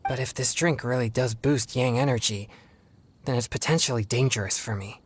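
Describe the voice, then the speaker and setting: An actor playing an earnest, polite young exorcist in a video game, speaking in a formal, measured, self-controlled way. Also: male voice, medium pitch, slightly rough, natural voice. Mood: earnest, pensive.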